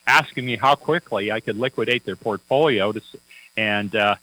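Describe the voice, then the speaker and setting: A man's voice: lowish voice, moderate pace, bright, forceful tone, low-quality recording. A man working as a financial advisor, chatting on a radio talk show in a folksy, anecdotal way with many fillers and restarts.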